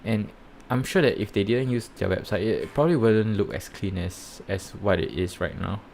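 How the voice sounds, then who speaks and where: A man with a Singaporean accent giving rambling, halting commentary while live-streaming reviews of projects. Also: lowish voice, gravelly, darkish tone, a little hiss or hum. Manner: rambling, halting, many fillers and restarts.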